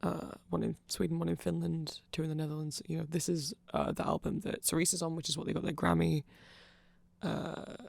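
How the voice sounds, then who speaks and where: A man's voice: on the high side, flat delivery, a little fast, vocal fry, no echo. A man giving a rambling, nerdy, informal ASMR explainer, often hesitating and interrupting himself. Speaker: ASMR creator explaining band lore.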